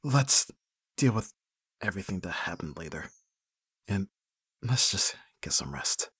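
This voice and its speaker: A man acting a flustered young best friend in love in an intimate ASMR roleplay, husky and breathy, with a hesitant, stammering delivery. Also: low voice, big pitch swings, measured pace, bright, forceful tone, no room sound, clean studio sound, heavily acted. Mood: weary, affectionate. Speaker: audio roleplay voice actor.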